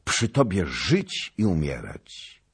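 A man voicing an exhausted but proud soldier in a dramatic story reading, speaking in terse, halting phrases. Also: rumbling low, lively intonation, full character voice. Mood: proud, loyal. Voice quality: weak, breathy. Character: exhausted ragged hussar knight, loyal and proud.

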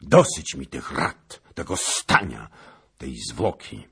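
A man voicing a fervent, commanding king in a dramatic story reading, with forceful delivery. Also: a bass voice, expressive, slow pace, husky, full character voice. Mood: furious, resolute. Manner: forceful exclamations and urgent questions. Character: impassioned king, fervent, commanding, quick to roar.

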